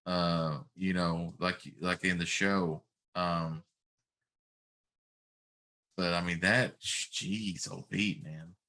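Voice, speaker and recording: Low-voiced middle-aged man chatting. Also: steady tone, drawn-out words, pauses often, pushed and bright. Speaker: talk show co-host. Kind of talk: casual chat.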